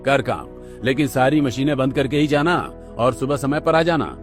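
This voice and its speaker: A man acts a middle-aged boss in a cartoon story. He is plainspoken, concerned and instructive, mid-pitched, with music beneath. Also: normal speed, natural voice.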